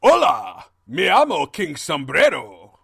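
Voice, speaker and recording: A creaky, wildly swooping villain king. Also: sits high, huge pitch swings, takes it slowly, a bit bright, no echo, clean studio sound, exaggerated voice. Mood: jovial, clownish. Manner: grandiose, boastful, theatrical. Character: vain, blustering evil stallion king with theatrical villainy. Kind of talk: cartoon fan-fiction audio drama.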